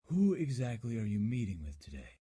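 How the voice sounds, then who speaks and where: A man's voice: mid-range voice, wildly swooping pitch, a little fast, mellow, breathy edge. A man playing a blunt, pressing, world-weary police detective in the style of a 1940s radio drama.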